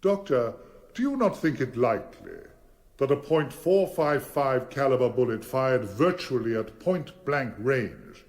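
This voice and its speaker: Big theatrical barrister in a radio play, probing and insistent, voice swings up and down a lot. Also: male voice, mid-range voice, very animated, unhurried, darkish tone. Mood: coolly probing. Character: formidable male barrister cross-examining witnesses, theatrical and insistent. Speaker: defence barrister. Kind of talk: courtroom radio drama acting.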